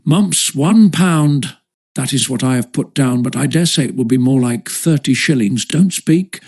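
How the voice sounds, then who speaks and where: A man's voice: mid-range voice, measured pace, a little mellow, husky. A man voicing a fussy, anxious father who mutters sums aloud and breaks off to scold. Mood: stern, calculating. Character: fussy, calculating father, anxious and easily interrupted.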